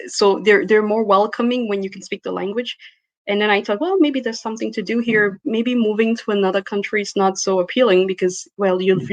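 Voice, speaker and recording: A woman's voice: medium pitch, dry recording. Woman in her thirties, dry sound, interview guest, laughs easily. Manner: thoughtful, laughs easily, with restarts. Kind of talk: interview answers.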